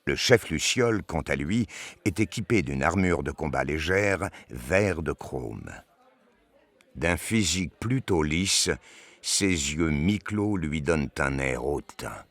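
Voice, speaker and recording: A man narrating a science fiction audio drama from a script in a measured, descriptive manner. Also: a bass voice, animated, fairly bright tone, no echo.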